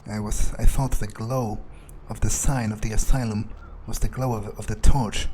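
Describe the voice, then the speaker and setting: A man's voice: lowish voice, fairly even intonation, moderate pace, dark tone, slight background noise, lightly acted. A man role-playing a cynical, jittery male vampire, thinking aloud in a hesitant, foul-mouthed mutter during a video game playthrough. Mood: uneasy, musing. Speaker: gamer playing in character. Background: game music.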